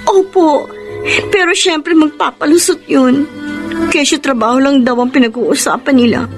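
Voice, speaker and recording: A woman's voice: high voice, moderate pace. A woman with a Filipino accent playing a jealous, hurt young mother and girlfriend in a radio romance drama. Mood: troubled, confiding. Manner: emotional, suspicious. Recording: music bed underneath.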